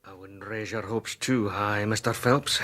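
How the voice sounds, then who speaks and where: An actor playing a sceptical, unhurried police detective, measured and matter-of-fact, in a radio drama. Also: male voice, lowish voice, steady tone, fairly bright tone.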